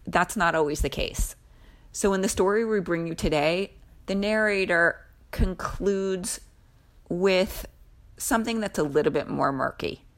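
A woman's voice: on the low side, fairly bright tone. A woman co-hosting a podcast, reading a scripted introduction. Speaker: podcast co-host.

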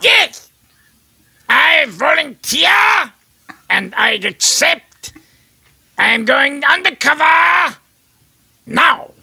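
A man playing a very old, frail man who shouts in cracking bursts and mumbles so he is hard to understand. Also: very high-pitched, very animated, very slow, vocal fry, long pauses, no room sound, clean studio sound, heavily acted. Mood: croaky shouting. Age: over 60. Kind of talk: improvised comedy sketch.

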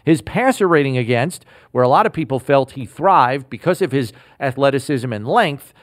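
A man hosting a sports podcast, delivering scripted lines in a measured, announcer-like way. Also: mid-range voice, animated, no echo, studio quality. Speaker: podcast host.

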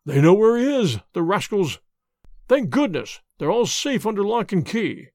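A man voicing an agitated middle-aged male headmaster in an audiobook, speaking with excited, breathless relief. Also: high voice, moderate pace, dry recording, clean studio sound.

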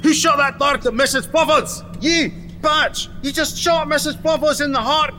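A man's voice: high voice, lively intonation, normal speed, fairly bright tone, big-room sound. A man voicing an irate, stammering masked bounty-hunter trainer in an improvised comedy sketch. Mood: furious. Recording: clearly noisy background.

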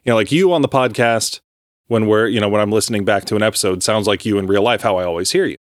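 A man with a low-pitched voice chats loosely on a podcast, circling back and restarting his sentences and swearing offhandedly. The sound is dry and close to the mic, with no room echo. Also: fast talker. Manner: rambling, many restarts, swears casually. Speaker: podcast co-host. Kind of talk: casual chat.

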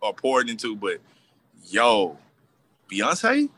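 A man interviewing a friend in a casual, hyped, slangy way, with loud exclamations. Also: mid-range voice, wildly swooping pitch, slow. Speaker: guest interviewer.

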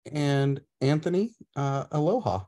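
A man's voice: fairly high pitch, unhurried, warm tone. A man co-hosting a casual podcast and chatting in a cheerful, playfully teasing way. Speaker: podcast co-host.

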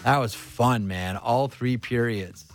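A man's voice: medium pitch, slow, faint music behind. A man hosting a sports radio show in a chummy, conversational way. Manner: chummy, calls guests pal.

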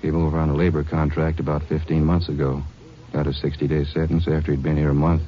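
An actor playing a brisk, businesslike lawman partner in a 1950s radio drama. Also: a bass voice, level tone. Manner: brisk, questioning. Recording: dull old radio broadcast.

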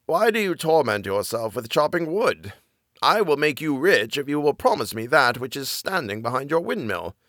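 A menacing old devil from a fairy tale, acted by a man. He is sly and commanding, threatening with animated swings of pitch in a dry recording. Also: moderate pace, dry recording. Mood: coaxing.

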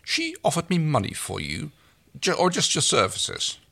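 A man's voice: a little high, wildly swooping pitch, moderate pace, creaky, pushed and bright, voice pushed up, heavily acted. A man voicing a dry, haughty woman traveler who teases her companion in a wry manner. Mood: wry, teasing.

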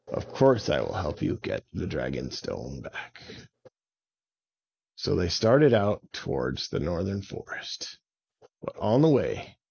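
A man tells a children's bedtime story at an unhurried pace, with a gravelly edge to his voice. His phrasing is simple and repetitive for young listeners, and the recording sounds slightly dull. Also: low voice, darkish tone. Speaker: children's story podcast host and narrator.

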